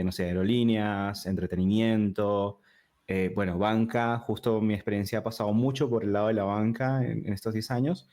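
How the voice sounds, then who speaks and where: Peruvian-born man with a River Plate accent. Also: on the low side. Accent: Rioplatense Spanish. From Peru. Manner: relaxed and talkative, with frequent fillers and restarts. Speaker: guest, digital product designer. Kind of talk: casual interview conversation.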